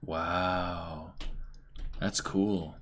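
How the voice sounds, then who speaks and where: A man's voice: deep voice, flat delivery, drawn-out words, fairly bright tone, a little hiss or hum. A man co-hosting a comic reading, reacting and commenting casually. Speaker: co-host of a dramatic comic reading.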